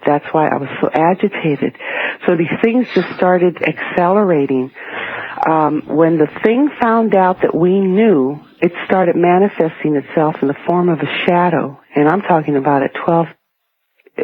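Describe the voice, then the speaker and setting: Middle-aged female radio caller, slightly husky, halting and earnest storyteller, narrow telephone sound. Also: deep voice. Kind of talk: recounting a personal ghost story.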